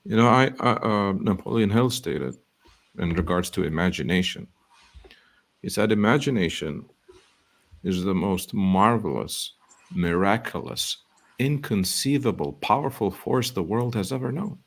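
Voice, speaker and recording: A man hosting a motivational talk show, speaking earnestly and slowing down to stress quotations. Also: fairly low pitch, expressive. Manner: earnest, quotes sayings, slow emphasis.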